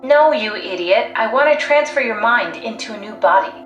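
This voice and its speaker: A woman's voice: on the high side, animated, moderate pace, a bit bright, highs cut off. A woman playing a pragmatic, driven, blunt scientist in a video game, analytical, matter-of-fact and persuasive. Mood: insistent. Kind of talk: video game voice acting, naturalistic dialogue. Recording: echoey sound. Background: faint music.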